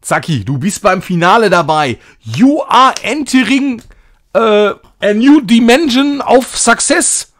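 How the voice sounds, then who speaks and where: A man's voice: high-pitched, animated, slow pace, a bit bright. A man doing casual, jokey live-stream commentary as he plays a game, chatty and self-ironic. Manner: jokey, chatty, self-ironic, slipping into mock-grand English.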